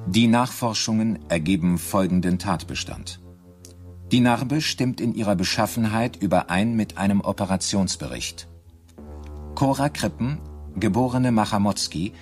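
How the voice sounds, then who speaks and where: An actor playing a sober official who reads out police findings in a radio play, formal and precise. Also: male voice, on the low side, moderate pace, soft background music, close to an everyday voice. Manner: formal, matter-of-fact, precise. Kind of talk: formal reading of an official report.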